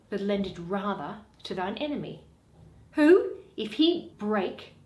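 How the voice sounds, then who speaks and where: A woman playing a proud, confident merchant man with a firm, haughty delivery in a Shakespeare reading, recorded in a roomy space. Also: medium pitch. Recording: roomy, echoing.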